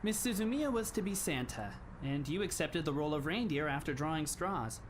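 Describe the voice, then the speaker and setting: A man in an anime fan dub playing a polite, composed teenage schoolboy who speaks in formal, measured phrases with a faintly wry touch. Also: fairly high pitch, expressive, slight background noise, close to an everyday voice. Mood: calm explaining.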